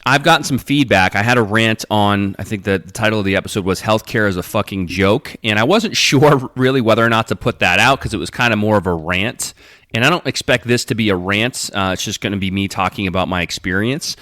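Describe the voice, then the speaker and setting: A man's voice: lowish voice, a little fast, sharp tone, no room sound, clean studio sound. A man hosting his podcast alone, reading announcements in a casual, rambling way while slightly stuffed up from a runny nose. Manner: conversational, rambling announcements with fillers. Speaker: podcast host. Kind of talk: casual solo podcast talk.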